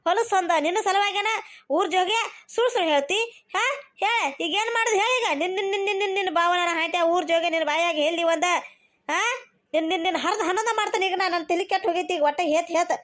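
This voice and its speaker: A woman's voice: very high voice, fast talker, a bit bright. A woman with a North Karnataka accent voicing a cantankerous, aggrieved older mother-in-law in a cartoon comedy, scolding and repeating herself insistently. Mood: furious scolding.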